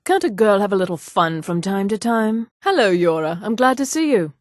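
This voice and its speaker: A woman voicing a haughty, refined high elf townswoman in a video game, with precise, polished, condescending delivery. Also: animated, moderate pace, no echo, clean studio sound, close to an everyday voice. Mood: smooth, confiding. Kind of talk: scripted game dialogue.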